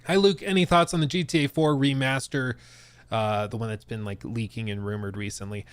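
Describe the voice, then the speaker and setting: Male podcast host, chatty and informal livestream commentary, slangy, slightly low voice, dry close sound. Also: fairly even intonation, fairly quick, smooth, no echo. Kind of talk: casual livestream gaming news commentary.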